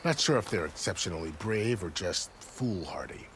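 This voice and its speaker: An actor playing a grave, courteous gate commander in a video game, speaking in a measured, formal manner. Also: male voice, sits low, animated, a bit bright, slight background noise. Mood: measured, informative. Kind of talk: game cutscene dialogue.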